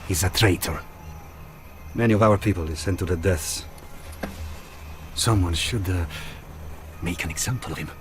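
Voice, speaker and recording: A man acting as a bitter, low-voiced partisan. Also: normal speed, long pauses, music in the background, natural voice. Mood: contemptuous, vengeful. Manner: emphatic, contemptuous. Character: bitter, vengeful male Italian partisan. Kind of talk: video game cutscene acting.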